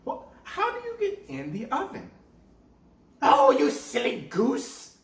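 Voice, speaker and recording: Shrill, wicked old witch. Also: huge pitch swings, slow, heavily acted. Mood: scornful. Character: wicked old witch, greedy, impatient child-eater. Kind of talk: storybook character voice. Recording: roomy sound, distant from mic.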